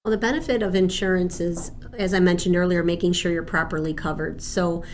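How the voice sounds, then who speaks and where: Female interview guest, native Spanish speaker, slightly low pitch, earnest conversational explainer, faint noise behind. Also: fairly even intonation, fairly quick. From born in Argentina. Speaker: insurance agent, interview guest.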